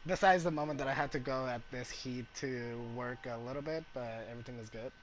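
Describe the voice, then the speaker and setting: A man giving casual live commentary as a game streamer, chatty and a little halting. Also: medium pitch. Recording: faint background noise.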